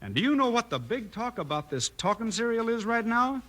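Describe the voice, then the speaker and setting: An American man giving a folksy, exuberant 1950s radio commercial pitch aimed at children. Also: sing-song, a little fast, a bit bright. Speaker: commercial announcer. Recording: old 1950s radio recording.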